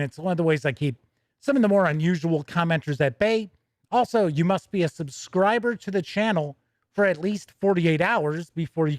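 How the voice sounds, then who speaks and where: A man's voice: on the high side, dry recording, clean studio sound. A man hosting a casual live stream, chatting to viewers in a folksy, easygoing way. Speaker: host of a tabletop gaming news live stream.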